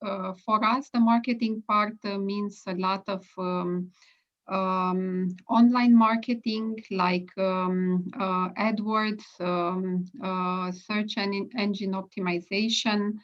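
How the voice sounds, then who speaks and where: A woman from Romania with a Romanian accent, introducing herself on a video call, halting with many fillers. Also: medium pitch, steady tone. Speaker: brokerage and marketing staff. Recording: dull lo-fi call audio.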